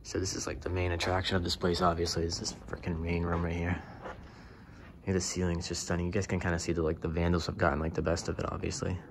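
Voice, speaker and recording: A man's voice: low-pitched, completely flat delivery, fast, noisy background. A young man exploring an abandoned church, talking casually to his camera with lots of fillers. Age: young adult. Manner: laid-back, rambling, lots of fillers like "um" and "you know". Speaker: urban explorer vlogger. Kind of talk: casual vlog walkthrough. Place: large abandoned church, indoors. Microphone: handheld camera, on the move.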